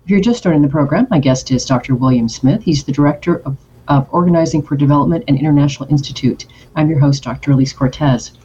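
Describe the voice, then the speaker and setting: A woman hosting a talk radio show, moving quickly through plugs and segues to her guest. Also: low-pitched, warm tone, lo-fi sound, slight background noise. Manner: quick, promotional, smooth segues.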